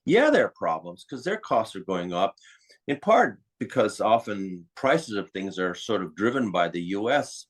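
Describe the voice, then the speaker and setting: A man's voice: on the low side, big pitch swings, moderate pace. An American man talking conversationally as an interview guest, explaining things in an opinionated way. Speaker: interview guest, psychiatrist and author.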